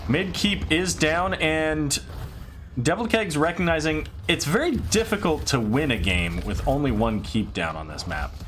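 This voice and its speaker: A man casting an esports match alone, calling plays quickly and then chatting loosely, his voice tired and a little raspy late at night. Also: fairly high pitch, faint music behind. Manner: quick excited calls, then loose rambling sign-off. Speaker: esports caster. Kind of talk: solo esports play-by-play commentary.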